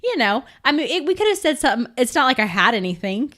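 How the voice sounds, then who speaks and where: Chatty woman host, lively pitch. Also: fast talker. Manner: chatty storyteller, laughs as she talks. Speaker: podcast host. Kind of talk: casual interview chat.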